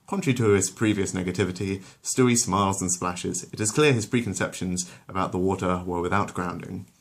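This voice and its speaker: Storyteller, male, low register, wry mock-literary narration for comedic bedtime tales. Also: brisk.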